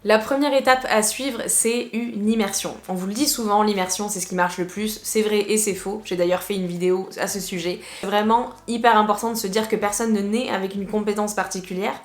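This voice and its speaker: A lively woman with a southwestern French accent talks through a casual video lesson, chatty and quick to drop English phrases in. Her voice sits mid-range in pitch. The room around her sounds echoey. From southwest France. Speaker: English teacher.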